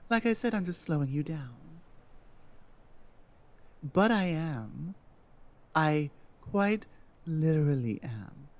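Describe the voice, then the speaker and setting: A man's voice: fairly high pitch, a little mellow. A man playing an earnest, self-doubting Nordic guide and adventuring companion in a roleplay audio drama, musing hesitantly.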